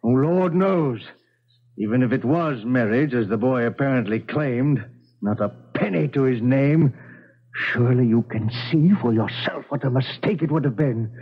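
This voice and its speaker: A man playing a blustering, domineering gentleman father in a radio drama, with a husky voice and pompous, old-fashioned phrasing. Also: mid-range voice, moderate pace, natural voice. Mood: blustering, indignant. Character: blustering, gout-ridden, domineering gentleman father of colonial times. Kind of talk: 1970s radio drama acting. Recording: dull 1970s radio recording.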